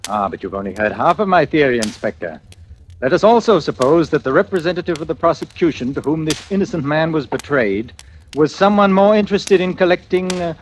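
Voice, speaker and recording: An actor playing a smoothly menacing, delusional killer in a 1940s radio suspense play, speaking with deliberate, insinuating theatricality. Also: male voice, on the high side, animated, close to an everyday voice. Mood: insinuating menace. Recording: noisy vintage radio recording with clicks.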